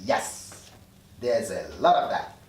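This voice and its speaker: Guy playing calm hospital boss explaining stuff, very up and down voice, roomy, off the mic. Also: mid-range voice, takes it slowly, a little hiss or hum. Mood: matter-of-fact. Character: matter-of-fact male medical supervisor in the afterlife. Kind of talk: script table read. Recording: echoey room, distant mic.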